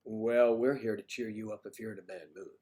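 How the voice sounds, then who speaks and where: Hoarse older man joking with viewers. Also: fairly low pitch, fairly even intonation, brisk. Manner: teasing and flirty, often drops to a soft mutter. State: hoarse, losing his voice. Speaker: livestream host. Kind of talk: casual livestream chat with viewers.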